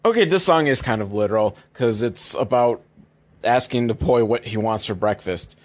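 A man's voice: mid-range voice, lively intonation, normal speed. A man hosting a comedy podcast, recapping films in a casual, rambling, sweary, mock-exasperated way. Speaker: podcast host.

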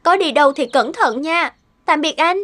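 A woman voicing a shy, kind young forest woman in a fairy-tale cartoon, gentle and hesitant. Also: high voice, lively intonation, normal speed. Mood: caring.

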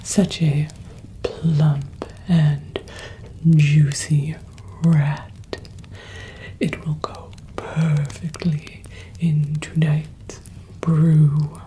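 Young woman for whispered ASMR witch role: very slow, low-pitched, doting, soothing, close-miked. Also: flat delivery, mellow, a little hiss or hum, rough recording. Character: doting, mischievous witch pampering her cat familiar. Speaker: ASMR performer. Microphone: close ASMR microphone.

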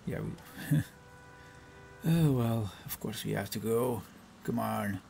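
Rambling young man with a gravelly voice. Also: slow, darkish tone, soft background music. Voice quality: bassy. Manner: rambling, hesitant, filler-heavy. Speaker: let's play host. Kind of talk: casual gameplay commentary.

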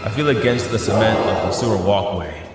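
A man's voice: fairly low pitch, fairly quick, close to an everyday voice. A young man acting an anxious, battered superhero in an audio drama, earnest and breathless as he narrates his ordeal. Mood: tense narration. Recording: very echoey hall-like reverb, music behind.

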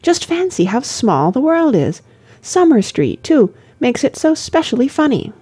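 A woman acting a gushing, high-voiced young gentlewoman. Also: sing-song, measured pace, breathy edge, full character voice. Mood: delighted, gushing. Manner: effusive, girlish. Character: eager, gushing young English gentlewoman, naive and chatty.

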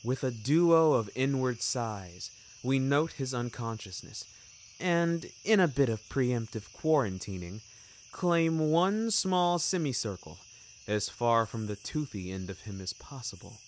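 A man's voice: medium pitch, animated, a little hiss or hum, rough recording. A man narrating scripted fiction in a wordy, wry and theatrical way, taking his time over phrases. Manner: wordy, wry, deliberate storytelling. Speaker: narrator of an audio fiction series. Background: music.

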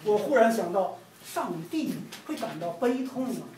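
A middle-aged man from China reads his own poetry in a measured, emphatic, declamatory way. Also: high voice, expressive, mellow. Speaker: poet reading own work. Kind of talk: poetry reading. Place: room with large windows, indoors. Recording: distant roomy event recording, background noise.

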